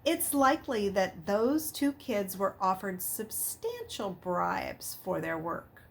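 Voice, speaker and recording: A woman voicing a shrewd male double agent in a storybook, with a measured, reasoning manner. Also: mid-range voice, big pitch swings, gravelly, a little hiss or hum, exaggerated voice.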